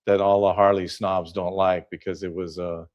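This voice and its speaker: An older man with a fairly low voice talks as a guest in a relaxed interview. He is from Southern California, and he pauses often with "uh" and restarts his sentences as he goes. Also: lo-fi sound. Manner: hesitant, many fillers and restarts. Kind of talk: casual interview chat.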